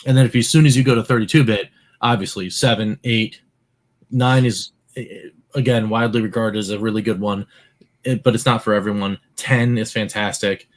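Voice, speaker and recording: Low-voiced man chatting casually. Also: fairly quick. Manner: relaxed, explaining with many fillers. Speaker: podcast co-host. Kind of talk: casual chat.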